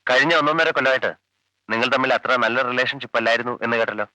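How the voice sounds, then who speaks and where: An actor playing a calm male police officer who questions a witness with measured, probing lines in a 1980s film. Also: medium pitch, no echo, poor audio. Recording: dry 1980s film soundtrack, faint music.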